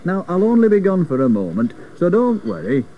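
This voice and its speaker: A man acts out an older works engineer from a rhyming children's storybook. He is matey and chatty, explaining things in folksy phrases with big swings of pitch. The recording is a little dull, with faint noise. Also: big pitch swings, brisk. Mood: instructing.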